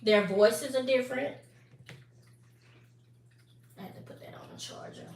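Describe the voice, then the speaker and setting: A woman's voice: fairly high pitch, unhurried, vocal fry, darkish tone. A woman in her forties chatting casually with her viewers on a live stream, talking straight to them and laughing often. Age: 40s. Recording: echoey room, mic at a distance, faint noise.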